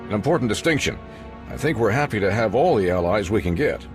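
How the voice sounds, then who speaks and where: An actor playing a polished television news host in a science fiction video game, formal and smooth in delivery. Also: male voice, on the low side, animated, fast talker, soft background music, lightly acted. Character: polished male news host of a space republic. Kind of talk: broadcast interview acting in a video game.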